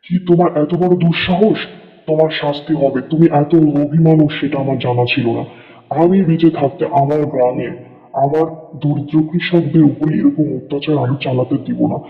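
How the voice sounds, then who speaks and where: A man's voice: a little high, a little fast, natural voice. A man playing a righteous, stern village king in a melodramatic cartoon, declaiming in a commanding way. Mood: indignant. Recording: echoing, reverberant, phone-like thin sound.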